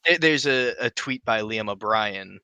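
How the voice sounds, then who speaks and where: Bright-voiced man in casual discussion. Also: fairly low pitch, lively intonation, a little slow, clear, resonant tone, dry recording. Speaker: podcast co-host. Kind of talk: casual tabletop gaming discussion.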